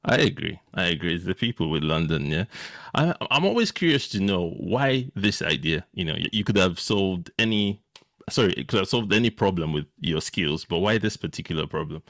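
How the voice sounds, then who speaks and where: A man's voice: low voice, lively intonation, fairly quick, a bit bright, no echo, clean studio sound. A man hosting a casual interview podcast, informal and agreeable in his way of talking. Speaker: podcast host.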